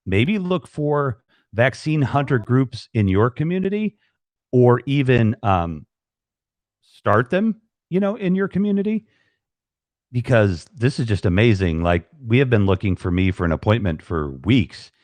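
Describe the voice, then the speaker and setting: A man's voice: mid-range voice, very animated, measured pace, a little mellow, dry recording. Rambling man hosting a live chat. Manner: rambling, many restarts and fillers. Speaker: live stream host.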